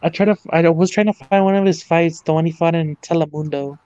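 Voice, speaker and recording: A man's voice: a little high, steady tone, a little fast, a little mellow, smooth, no echo. Hesitant man, dull dry recording. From United States. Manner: hesitant, many fillers and restarts. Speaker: guest. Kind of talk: casual chat.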